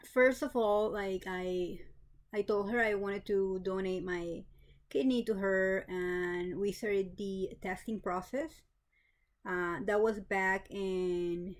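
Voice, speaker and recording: A woman in her thirties from Peru, casually telling her own story with many pauses and restarts, plain and matter-of-fact. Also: mid-range voice, a little mellow. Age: 30s. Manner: hesitant, many fillers and restarts. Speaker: kidney donor. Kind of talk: casual personal vlog.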